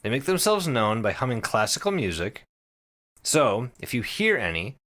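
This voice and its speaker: A man hosting a podcast, explaining things casually and comedically to friends in an animated, sweary, conversational way. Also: medium pitch, moderate pace. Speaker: podcast host.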